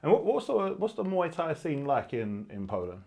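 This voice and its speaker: A man's voice: on the high side, very animated, moderate pace, creaky, warm tone. A man hosting a studio interview; he laughs often and restarts his questions. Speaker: interview host. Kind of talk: casual interview.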